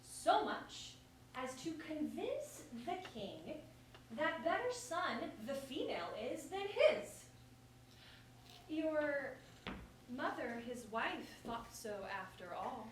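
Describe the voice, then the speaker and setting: Woman acting a high, swooping, defiant witty heroine. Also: very animated, slow pace, exaggerated voice. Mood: biting mockery. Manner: theatrical, haughty, biting verse delivery. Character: witty, defiant common woman elected representative. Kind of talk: staged reading of verse comedy. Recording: echoey room, far mic, faint noise.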